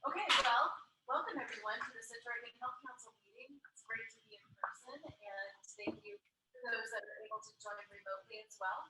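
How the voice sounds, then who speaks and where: Brief: woman meeting chair, higher voice, cheerful, picked up from afar in a reverberant room. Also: lively intonation, very noisy. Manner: friendly, upbeat, quick affirmations. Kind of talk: running a hybrid board meeting. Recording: echoey room, far from mic.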